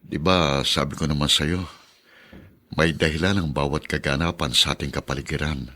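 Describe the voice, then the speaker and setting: A male actor playing a kind, humble, devout father and husband in a radio drama, earnest and expressive. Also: very deep voice, normal speed. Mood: tender, earnest.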